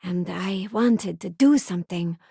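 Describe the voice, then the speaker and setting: An actress voicing an earnest, idealistic former deputy turned starship crew member in a video game, sincere and plain-spoken. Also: female voice, lowish voice, measured pace, creaky, dark tone, slightly rough, no echo, studio quality, full character voice. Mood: earnest, reflective. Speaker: video game companion character. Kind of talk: acted video game voice lines.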